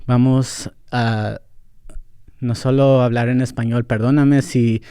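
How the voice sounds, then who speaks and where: A young adult man with a mellow, warm voice speaks into a close mic in a dry, dead-sounding space. He talks candidly and off the cuff, mixing English with Central American–accented Spanish, with fillers here and there. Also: medium pitch, level tone, slow pace, clean tone, no room sound. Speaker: podcast host. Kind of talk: casual solo podcast monologue.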